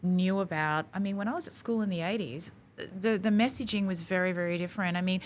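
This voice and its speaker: Aussie woman in her 40s chatting on a podcast, kinda low voice, lively, acts out quotes, bit of rumble in the back. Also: brisk. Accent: Australian. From Australia. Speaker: podcast guest. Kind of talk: casual conversation.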